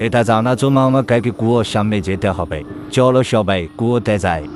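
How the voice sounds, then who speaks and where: Casting: actor for cartoon village headman, slightly low, authoritative, deliberate, reassuring. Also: over music, natural voice. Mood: decisive. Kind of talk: cartoon voice acting.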